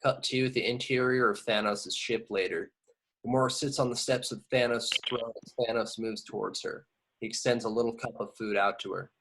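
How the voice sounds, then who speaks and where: Medium-pitched man reading stage directions. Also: fairly even intonation, normal speed, background noise. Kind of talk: scripted narration at amateur table read.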